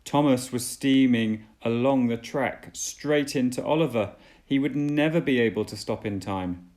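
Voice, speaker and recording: A man reading a children's storybook aloud as a dad would, with deliberate, dramatic storytelling. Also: mid-range voice, a little mellow.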